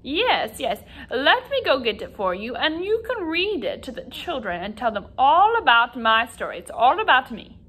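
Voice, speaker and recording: A high-voiced, self-important duck puppet, acted out by a woman for a children's lesson. The duck is chatty and dramatic, swinging widely in pitch as it makes everything about itself. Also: sing-song, moderate pace, hardly any pauses, sharp tone, exaggerated voice. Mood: eager, self-important.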